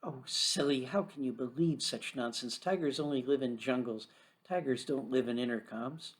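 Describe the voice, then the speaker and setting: A man's voice: mid-range voice, moderate pace, natural voice. A man voicing a bossy, know-it-all young girl in a children's storybook, scoffing and insistent. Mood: mocking. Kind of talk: children's storybook read aloud.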